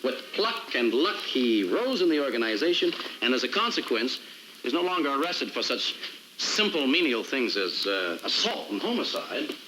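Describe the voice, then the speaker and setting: An actor playing an indignant, driven city prosecutor in an American crime drama, with a wry, wordy, sarcastic manner. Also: male voice, medium pitch, sing-song, moderate pace, background noise, close to an everyday voice. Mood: sardonic.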